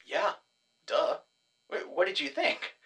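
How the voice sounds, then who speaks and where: Casting: man as a bright-voiced, animated rival schemer, boastful and playful. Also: on the high side, slow pace, exaggerated voice. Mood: cheery. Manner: wheedling, gloating, playful, prone to whispering. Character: boastful, giddy man running a rival disappearance agency. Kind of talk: audio drama.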